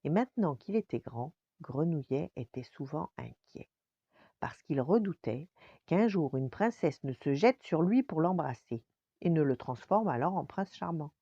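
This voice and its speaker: A woman's voice: low voice, animated, a little slow, mellow, husky, no room sound, muffled recording. An older woman, a grandmother, expressively telling a bedtime story to children.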